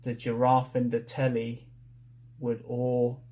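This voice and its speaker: A man retelling a story from memory in a halting, unsure manner, piecing sentences together bit by bit. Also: medium pitch, completely flat delivery, dark tone. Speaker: participant in a story-retelling game.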